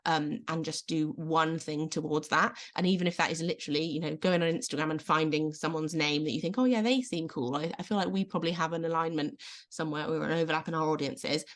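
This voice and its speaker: A woman coach, slightly losing her voice, giving gentle, encouraging advice in a casual video-call interview. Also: low voice, fast talker. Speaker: self-belief coach guest.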